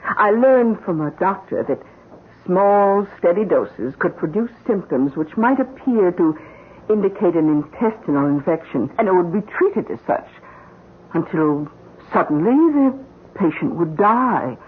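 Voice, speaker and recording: An actress playing a scheming middle-aged housekeeper in an old-time radio mystery, with deliberate, insinuating, theatrical phrasing. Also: female voice, lowish voice, animated, slightly rough. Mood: coolly insinuating. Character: scheming middle-aged housekeeper, coolly blackmailing her employer. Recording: muffled old radio recording.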